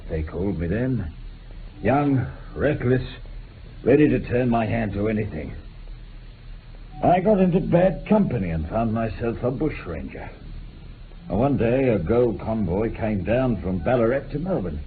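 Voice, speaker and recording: An actor playing a remorseful, dying old former bushranger in a radio drama, making an impassioned, halting confession. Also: male voice, lowish voice. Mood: grim reminiscence. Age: older. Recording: muffled phone-like old radio recording, hiss.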